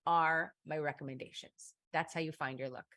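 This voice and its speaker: A middle-aged woman hosting a casual livestream advice show, talking in an emphatic, chatty way with many quick asides. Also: sits low, fairly even intonation, a bit bright, no room sound, clean studio sound. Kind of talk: casual livestream chat reading viewer comments.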